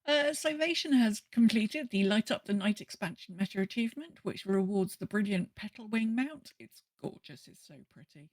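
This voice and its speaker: A woman's voice: mid-range voice, animated, normal speed, few pauses, dry recording. A woman hosting a casual gaming podcast, chatting in an enthusiastic, rambling way. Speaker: podcast host. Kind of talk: casual podcast chat about a video game.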